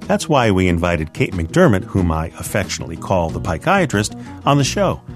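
A man's voice: sits low, big pitch swings, moderate pace, over music. A man hosting a radio food show, reading his links with friendly, jokey asides.

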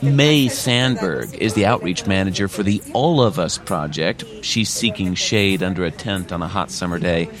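A male radio reporter with a lowish voice reads his scripted news piece. His delivery is measured and even. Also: crowd noise.

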